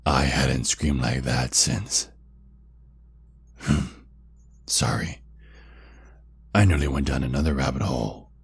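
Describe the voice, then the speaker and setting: A man playing a possessive, menacing vampire kidnapper in an ASMR roleplay, speaking intimately and deliberately. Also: very deep voice, heavily acted. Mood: bitter, possessive. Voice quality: gravelly, husky, breathy. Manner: slow, intimate, deliberate, with drawn-out pauses.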